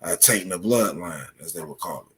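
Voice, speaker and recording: Low-voiced man explaining analytically. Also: lively intonation. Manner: measured, analytical, explains with examples. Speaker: podcast guest, commentator. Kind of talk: explanatory interview talk.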